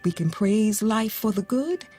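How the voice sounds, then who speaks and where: An actress playing a gentle, grieving middle-aged mother who offers consolation in a naturalistic audio drama. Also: medium pitch, lively intonation, breathy edge, faint music behind. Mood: earnest, consoling. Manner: slow, gentle, earnest delivery with pauses. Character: grieving, consoling mother who lost her teenage daughter.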